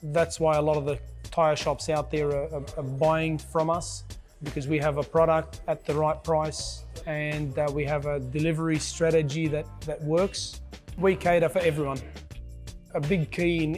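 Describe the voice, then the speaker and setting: Male business manager, interview testimonial, halting delivery, flat intonation, music bed underneath. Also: fairly high pitch. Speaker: general manager of a tyre business. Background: background music.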